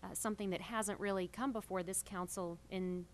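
A woman's voice: medium pitch, steady tone, moderate pace, slight background noise. A woman speaking as a council member at a public meeting, earnest and roundabout in her phrasing.

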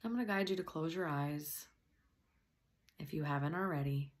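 A woman softly and gently leading a guided meditation, at times slipping into a breathy near-whisper. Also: deep voice, normal speed.

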